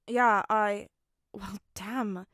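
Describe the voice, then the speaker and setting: A woman voicing a dry, awkward teenage son with a flat, hesitant delivery in a story reading. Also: medium pitch, drawn-out words, dry recording.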